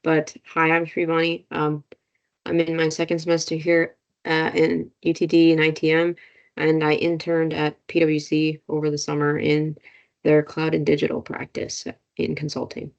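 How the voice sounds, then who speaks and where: A woman graduate student panelist introducing herself on a video call with a faulty mic, quick and slightly flustered. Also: low-pitched, monotone, highs cut off.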